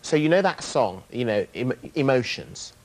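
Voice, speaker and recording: A man's voice: medium pitch, lively intonation, moderate pace, a little mellow, a little hiss or hum, rough recording. A man hosting a talk show, teasing his guest in a jokey way. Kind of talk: joking talk show banter.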